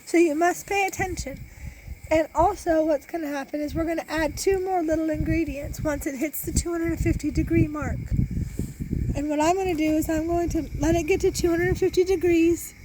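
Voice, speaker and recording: Woman, 40s, losing her voice; high, chatty homesteader explaining a recipe outdoors into a close phone camera. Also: steady tone, normal speed, dark tone, a little hiss or hum, rough recording. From Iowa, US. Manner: chatty, explains step by step with many restarts. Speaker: homesteader and beekeeper. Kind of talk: casual how-to cooking demonstration. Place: outdoor back deck in a farm yard. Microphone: phone camera held close.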